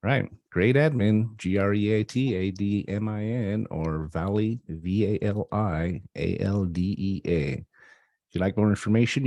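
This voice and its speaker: A man's voice: sits low, warm tone, no echo. A man hosting a talk radio interview over a video call, upbeat and affirming, often checking in with "right?". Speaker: radio show host.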